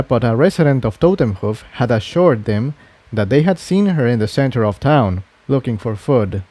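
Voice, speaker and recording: A man's voice: medium pitch, dark tone, no echo. A man reading a scripted adventure story aloud as its narrator. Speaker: fan fiction narrator.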